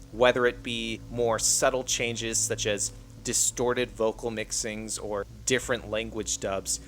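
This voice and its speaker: A man's voice: mid-range voice, fairly bright tone. A man reading a scripted video essay as the narrator of an online channel.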